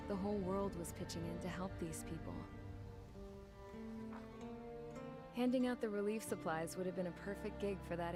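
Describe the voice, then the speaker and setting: An actress playing a gritty, wry mechanic in a game cutscene, blunt and colloquial. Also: female voice, lowish voice, lightly acted. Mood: dry, reflective. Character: gritty, wry female mechanic, plain-spoken and resourceful. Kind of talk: dramatic game cutscene narration. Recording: loud musical score behind.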